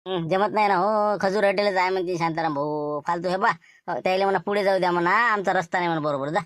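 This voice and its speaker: A woman voicing quick-talking rustic Vidarbha village farmer. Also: mid-range voice, a bit bright, no room sound, clean studio sound, voice pushed down, natural voice. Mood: resigned, grumbling. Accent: rural Vidarbha Marathi. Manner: colloquial, complaining, folksy. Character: worried Marathi village farmer men chatting about crops. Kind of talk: cartoon village comedy dialogue.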